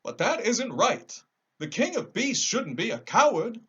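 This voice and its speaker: A man's voice: high voice, sing-song, measured pace, a bit bright, husky, muffled recording, voice pushed up, full character voice. A man voicing an earnest, naive scarecrow stuffed with straw, exclaiming like a storybook character. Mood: puzzled.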